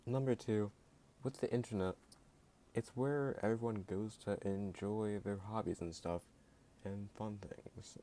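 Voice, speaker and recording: A man's voice: lowish voice, steady tone, unhurried, mellow, slight background noise. A man giving an opinion rant as a video creator, sarcastic and blunt, with a voice that sounds jittery at times. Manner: sarcastic and blunt, heavy use of "like". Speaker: YouTube creator.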